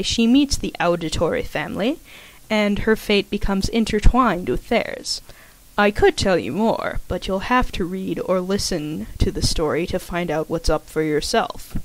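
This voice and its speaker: A woman's voice: mid-range voice, no room sound, low-quality recording. A woman giving an informal scripted introduction to an audio story, chatty and full of self-aware little asides. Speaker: fan fiction author and podfic narrator.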